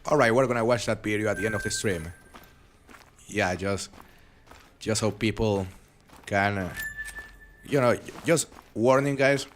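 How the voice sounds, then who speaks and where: Young male game streamer, relaxed and rambling, accented, lowish voice, faint hum behind. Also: measured pace, long pauses. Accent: foreign accent. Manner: relaxed, chatty, rambling with frequent restarts. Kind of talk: live game stream commentary reading chat.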